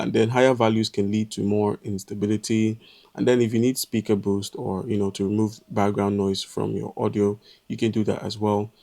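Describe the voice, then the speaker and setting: A man giving a step-by-step software tutorial, explaining calmly with frequent "uh" pauses. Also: on the low side, gravelly. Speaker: tutorial presenter. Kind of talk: screen-recorded software walkthrough.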